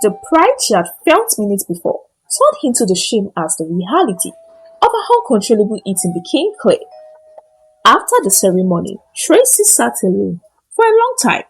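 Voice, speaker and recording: A woman narrating a scripted moral folktale in short, deliberate, dramatic phrases. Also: on the high side, slightly rough, poor audio. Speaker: storyteller.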